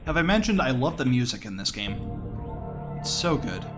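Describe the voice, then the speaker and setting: A man's voice: mid-range voice, sing-song, normal speed. Man commentating a speedrun over game music. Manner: explanatory, talking through the run as it happens. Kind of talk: casual live gameplay commentary. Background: video game music.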